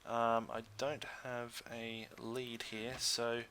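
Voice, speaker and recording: A man gives relaxed, informal game commentary in a bright, forward voice at an average male pitch. He often hesitates and thinks out loud as he plays. There is clear background noise on the recording. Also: slow. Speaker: gaming video host.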